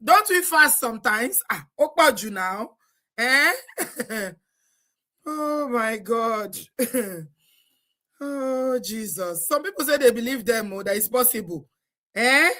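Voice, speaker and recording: A woman's voice: fairly high pitch, animated, takes it slowly, pauses often, sharp tone. A Nigerian woman hosting a live stream, chatty and exclamatory, often breaking into laughter. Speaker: host. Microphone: live stream setup.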